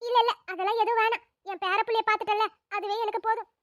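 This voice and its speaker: A woman doing a weepy old grandmother, very high. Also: steady tone, quick pace, a bit bright, no echo, clean studio sound. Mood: joyful. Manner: wailing, fussing endearments. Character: tearful doting old grandmother. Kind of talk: animated story voice acting.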